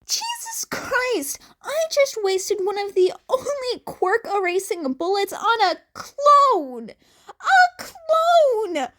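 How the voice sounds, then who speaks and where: Teenage boy, guilt-ridden and panicky, very high halting voice, animated delivery, played by a woman. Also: full character voice. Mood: desperate, upset. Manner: halting, stammering, voice breaking. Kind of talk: acted fan fiction audiobook dialogue.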